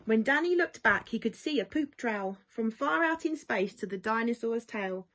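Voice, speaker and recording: A woman reading a rhyming children's storybook aloud in an expressive, lilting way, as school staff do for young pupils. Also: fairly high pitch, moderate pace. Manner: animated, lilting rhyming delivery.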